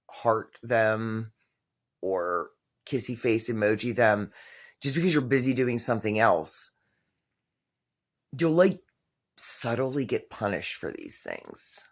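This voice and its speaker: A middle-aged woman with a very low voice tells a personal story to camera in a casual, rambling way, full of fillers and self-corrections. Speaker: vlogger telling her own story.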